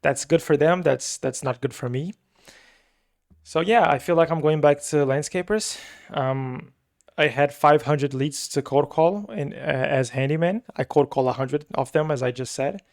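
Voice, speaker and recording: A man's voice: fairly high pitch, unhurried. A young man with a Brazilian accent, talking through his work week in a loose, rambling vlog with many fillers and repeats. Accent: Brazilian Portuguese. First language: Portuguese. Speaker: agency owner recapping his week. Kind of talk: casual weekly recap vlog.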